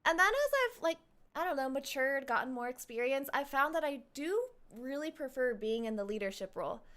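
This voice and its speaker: A woman's voice: high voice, big pitch swings, a little fast, fairly bright tone. A woman streaming a game and talking casually, in a reflective, rambling, slangy way as she reacts to it. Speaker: live streamer playing a game.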